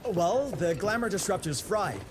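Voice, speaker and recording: An actor playing a nervous, fawning engineer who stammers and rushes his words. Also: sits high, animated, noisy background. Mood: flustered. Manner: flustered, stammering, rapid. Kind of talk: video game cutscene voice acting.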